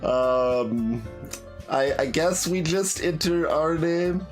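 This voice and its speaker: A man's voice: on the high side, takes it slowly, faint music behind. A man streaming a playthrough, casually reading game text aloud in a hesitant, wry manner.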